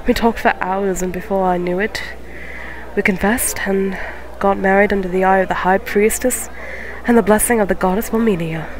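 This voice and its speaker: A woman playing a gentle, motherly young cat witch who keeps a tavern, speaking softly and formally in an ASMR fantasy roleplay. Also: medium pitch, steady tone, lightly acted, rough recording. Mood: fond, reminiscing. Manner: soft-spoken, formal, unhurried phrasing. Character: gentle eighteen-year-old neko witch tavern keeper, motherly, polite.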